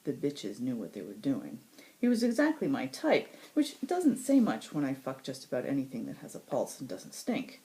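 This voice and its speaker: Woman voicing a very deep-voiced, sardonic male assassin. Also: huge pitch swings, dark tone, exaggerated voice, low-quality recording. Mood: lustful. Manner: sarcastic, dramatic asides and emphatic pauses. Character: cynical, sardonic male supernatural assassin, cold and crude. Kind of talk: first-person audiobook-style story reading.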